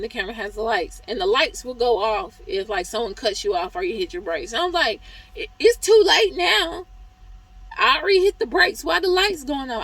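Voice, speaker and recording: Hoarse woman venting about work. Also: on the high side, a bit bright, low-quality recording. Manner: chatty, exasperated venting with quoted reactions. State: hoarse, losing her voice. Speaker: truck driver vlogger. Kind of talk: casual vlog review.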